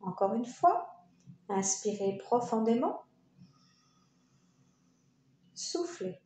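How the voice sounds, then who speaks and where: Lady doing guided yoga relaxation, soft mellow tone, really unhurried, roomy echo. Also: a little high, animated. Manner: soothing, unhurried instruction with short phrases and pauses. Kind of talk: guided meditation narration. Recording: roomy echo, faint background noise.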